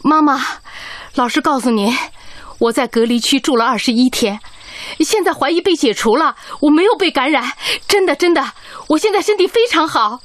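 A woman's voice: sits high, animated, moderate pace, dry recording. An actress playing a brave, devoted head nurse and young mother in an emotional Chinese radio drama, speaking tenderly and earnestly. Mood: solemn, confiding.

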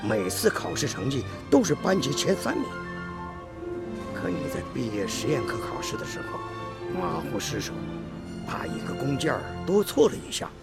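A man acting a middle-aged factory team leader in a radio drama, gruff but caring, with a commanding, hearty, emphatic delivery. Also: medium pitch, huge pitch swings, normal speed, loud music behind the voice. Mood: earnest.

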